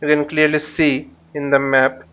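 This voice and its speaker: A man with a slightly high voice narrates a live flight simulator lesson. He speaks haltingly, thinking aloud as he demonstrates each step like an instructor. The recording is somewhat dull and lo-fi. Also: monotone, fairly bright tone, clear, resonant tone. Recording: dull, lo-fi microphone sound.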